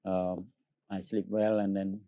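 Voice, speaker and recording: Cambodian man with a deep mellow voice. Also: level tone, no room sound. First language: Khmer. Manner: halting English with many fillers, laughs often. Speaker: opera tenor, interview guest. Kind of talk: casual interview answers.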